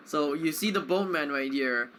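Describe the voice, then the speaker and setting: A man's voice: a little high, normal speed, fairly bright tone, slight background noise. A man chatting casually as he plays a game, reacting in short muttered exclamations. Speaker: speedrunner playing the game. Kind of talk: casual chat while gaming. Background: game music behind the voice.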